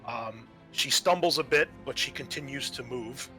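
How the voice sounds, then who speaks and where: Bright-voiced man running a tabletop game. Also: medium pitch, moderate pace, soft background music, poor audio. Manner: casual, sweary. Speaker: game master. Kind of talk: casual game narration and rules talk.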